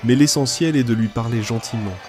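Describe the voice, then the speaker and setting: Man doing a jealous husband in an animated film, earnest inner monologue, mid-pitched, faint music. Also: a little fast, close to an everyday voice. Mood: earnest, reflective. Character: jealous husband turned thoughtful, adult man.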